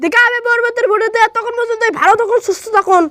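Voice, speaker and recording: Very high, bright, quick-talking woman vlogger. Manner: chatty, thankful, direct address to viewers. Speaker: YouTuber. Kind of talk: casual vlog talk to subscribers.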